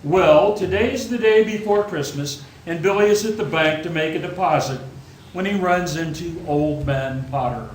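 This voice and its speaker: A man's voice: fairly high pitch, moderate pace, a little hiss or hum, natural voice. A man acting a solemn heavenly angel who narrates a radio play as scripted storytelling. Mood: grave. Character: solemn heavenly senior angel recounting a life. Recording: roomy stage, prominent music behind. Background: loud plucked-string instrumental music.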